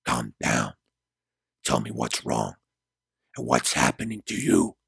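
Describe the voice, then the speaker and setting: A man voicing an intense, desperate man out of his own time in an audiobook drama, speaking with low, forceful urgency. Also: sing-song, slow pace, vocal fry, breathy edge, no room sound, studio quality, heavily acted. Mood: soothing, pleading. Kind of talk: dramatic audiobook character dialogue.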